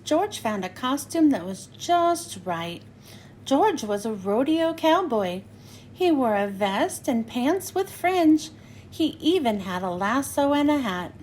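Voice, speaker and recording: A woman reading a picture book aloud for children, in an expressive, sing-song storytelling manner. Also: sits high, slight background noise.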